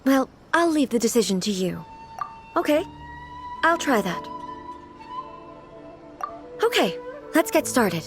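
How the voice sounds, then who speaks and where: A dignified, ageless ruler deity from a video game. She speaks slowly in a fairly high feminine voice, formal and reserved yet earnest, choosing her words with care. Music plays clearly behind her. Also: big pitch swings, exaggerated voice. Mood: awkward, hesitant. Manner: formal, measured, deliberate. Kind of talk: video game character dialogue.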